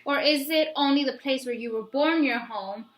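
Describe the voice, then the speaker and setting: A woman reading a picture book aloud in an expressive, instructive way, like a teacher addressing young listeners. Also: high-pitched, normal speed. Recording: roomy, echoey room sound.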